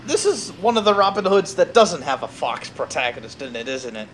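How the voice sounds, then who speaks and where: A somewhat high-voiced man hosts a casual stream over faint background noise. He rambles from one aside to another, dropping academic words into loose chat. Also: big pitch swings, a little fast, fairly bright tone. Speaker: streamer and host.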